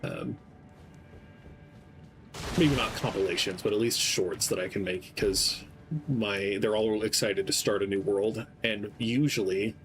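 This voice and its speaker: A young man with a lowish, soft-spoken voice rambles gently while streaming a game. He talks in an easy, unhurried way, filling pauses with ums. Game music plays clearly underneath him. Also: steady tone, rough recording. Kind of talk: casual gameplay stream chat. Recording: game music playing behind.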